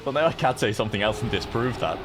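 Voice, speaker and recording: A man's voice: mid-range voice, fast, background noise. A man co-casting a live racing esports match, playful and giggly and often joking about himself. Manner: playful, giggly, self-deprecating. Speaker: esports co-caster. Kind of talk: casual live esports commentary.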